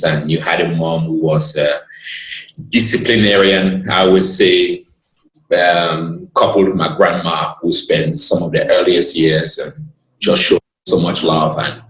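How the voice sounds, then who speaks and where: A man with a very low voice speaks as an interview guest. He talks earnestly and at length, pausing now and then to find his words, and his voice carries around a roomy, echoing space. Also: fairly bright tone. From Cameroon. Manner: expansive and earnest, pauses to gather his words. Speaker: guest, lawyer. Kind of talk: reflective storytelling in conversation.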